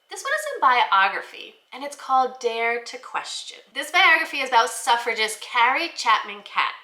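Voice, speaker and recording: A woman giving an upbeat scripted presentation as a channel host, enunciating clearly like an enthusiastic teacher. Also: a little high, sharp tone, roomy sound. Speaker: host of a children's book review channel.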